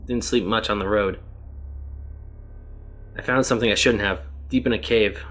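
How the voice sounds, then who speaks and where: A worn-out man lost for countless years in a strange world, his words coming low and steady, as a man reads him in his own voice. The tone sits a little deep and never strains. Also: normal speed, close to an everyday voice. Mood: weary. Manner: plain, even reading of written tablets. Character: weary male test subject lost ages in another world.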